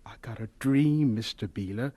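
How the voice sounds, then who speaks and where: An actor playing an earnest, dreamy young homesteader in a radio drama, with a wistful, folksy, heartfelt way of talking. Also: male voice, medium pitch, moderate pace, mellow, natural voice. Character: earnest, dreamy young homesteader, later recalling his life.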